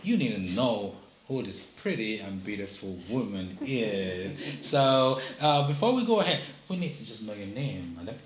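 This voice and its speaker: A man's voice: on the low side, big pitch swings, moderate pace. A man with a Nigerian accent hosting an interview show, with an emphatic, repetitive showman delivery. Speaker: TV show host. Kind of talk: live interview presenting. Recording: echoey room.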